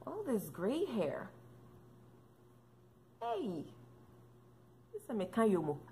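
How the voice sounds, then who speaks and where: A woman's voice: medium pitch, huge pitch swings, takes it slowly, mellow, a little hiss or hum. A woman, chatting casually to camera as a vlogger, playful and joking with lots of fillers. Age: 30s. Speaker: fitness and mom vlogger. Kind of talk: casual chatty vlog talk.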